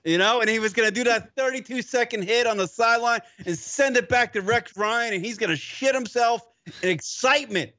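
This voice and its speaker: A man's voice: extremely high pitch, fairly quick, pushed and bright, no echo, dull audio. A man co-hosting a radio show, bantering crudely and mocking a sideline reporter with put-on impressions. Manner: profane, mocking, acts out impressions.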